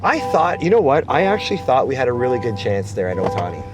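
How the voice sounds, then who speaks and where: A man's voice: mid-range voice, big pitch swings, fast talker, music in the background. A man with a Canadian accent hosting a casual, chummy interview inside a car, using informal slang. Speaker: interviewer host. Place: inside a car being driven. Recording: noisy moving vehicle interior.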